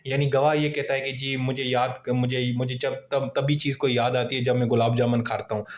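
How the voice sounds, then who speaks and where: Man, Pakistani accent, medium pitch, law lecturer, conversational explaining, informal teaching style, example-driven. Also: level tone, a little fast. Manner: conversational, explains with everyday examples and acted-out questions.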